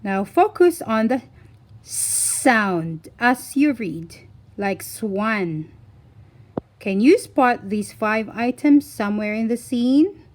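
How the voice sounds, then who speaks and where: A woman reading a scripted phonics lesson for young children, deliberately enunciating single words in an instructional way. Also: on the high side, lively intonation. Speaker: children's phonics teacher and reader.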